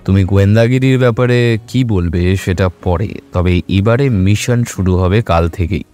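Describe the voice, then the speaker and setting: A man playing a serious, confident detective in an audio drama, speaking in a measured, firm way. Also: low voice, dark tone, faint music behind, natural voice. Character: serious, steady male detective, confident leader. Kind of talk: Bengali audio drama acting.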